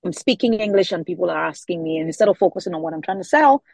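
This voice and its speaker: A woman's voice: fairly low pitch, animated, rapid-fire, gravelly, a little mellow, husky, no echo. A Nigerian woman in her forties, an author answering interview questions thoughtfully, often repeating words as she searches for them. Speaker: author guest.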